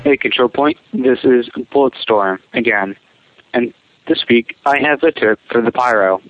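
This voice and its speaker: A man leaving a voicemail, giving a gameplay tip in deliberate, short measured phrases. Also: medium pitch, music in the background. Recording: tinny narrow phone line voicemail.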